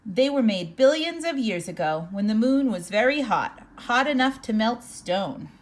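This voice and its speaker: A woman reads a children's picture book aloud. Her voice sits a little high. She tells it in a measured, expressive way, as a storybook reader does.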